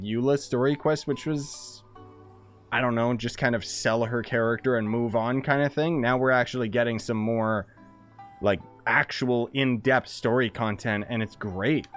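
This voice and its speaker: Man, mid-pitched voice, casual game streamer, slangy, excitable, swearing, light music behind. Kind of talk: casual gameplay commentary. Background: video game music.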